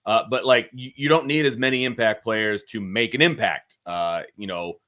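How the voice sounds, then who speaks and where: A man's voice: medium pitch, moderate pace, pushed and bright. An American man co-hosting a sports talk show, giving casual analysis and informally talking through his reasoning.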